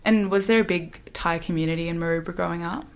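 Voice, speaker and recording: A woman's voice: fairly low pitch, fairly even intonation, fast. A woman hosting a conversational radio interview. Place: radio studio.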